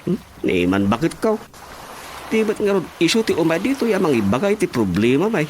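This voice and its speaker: A man's voice: a little high, very animated, brisk, a little mellow, slight background noise. An actor with an Ilocano accent playing a village man in a radio comedy drama. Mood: earnest.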